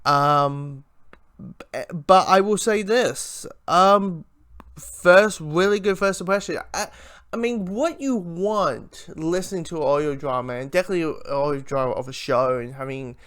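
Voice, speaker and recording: Male reviewer, smooth and slightly high voice, casual unscripted delivery, rambling with lots of stop-start phrasing. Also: a bit bright. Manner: rambling, halting, with repeated words and false starts. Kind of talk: casual, unscripted fan review to camera or mic.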